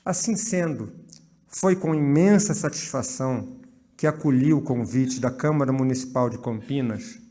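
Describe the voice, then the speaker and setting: A man's voice: medium pitch, moderate pace, warm tone. A man with a Brazilian accent, the honoree's grandson, reading a prepared speech in formal, elaborate wording.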